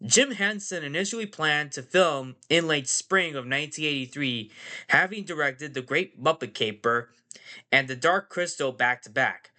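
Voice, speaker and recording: A man's voice: on the high side, expressive, normal speed, pushed and bright. A man hosting a casual movie podcast, nasal from a cold, rambling and repeating phrases and fillers. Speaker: podcast host.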